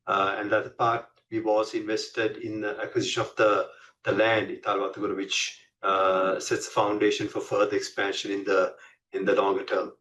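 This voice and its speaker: Low-voiced Sri man, distant mic. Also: completely flat delivery, moderate pace, fairly bright tone, a little hiss or hum. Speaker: company finance executive. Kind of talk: earnings call presentation.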